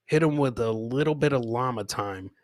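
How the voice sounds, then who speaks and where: A man's voice: medium pitch, normal speed, no room sound. A man hosting a live comedy podcast, bantering casually and rambling, with swearing and showman-like addresses to his audience. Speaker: podcast host.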